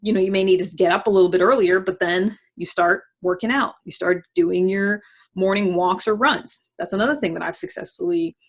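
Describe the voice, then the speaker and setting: A woman's voice: mid-range voice, fairly quick. A woman giving casual motivational advice as a host, conversational and emphatic, often voicing imagined self-talk.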